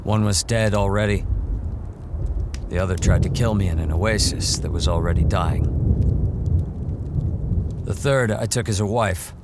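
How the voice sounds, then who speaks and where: An actor playing a hardened, confident monster hunter wandering a wasteland in a post-apocalyptic audio drama. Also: male voice, on the low side, a little slow, pauses often. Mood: reflective, somber. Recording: loud ambient noise behind the voice.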